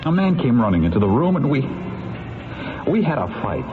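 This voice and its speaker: An actor playing a nervous, contrite man on the witness stand, halting and stammering in a radio drama. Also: mid-range voice, big pitch swings, close to an everyday voice. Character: nervous, contrite man testifying about a shooting. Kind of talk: classic radio drama acting. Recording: tinny old radio, music behind.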